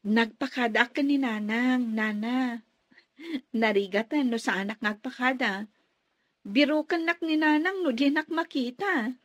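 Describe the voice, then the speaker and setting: A woman's voice: on the high side, a little slow, fairly bright tone, dry recording, muffled recording. An actress playing a kind, dutiful young woman in a radio drama, speaking earnestly and politely to her elders. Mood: polite, caring.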